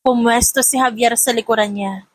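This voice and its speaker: A woman's voice: a little high, fairly even intonation, moderate pace, no room sound. A woman narrating a scripted romance story as an audiobook reader.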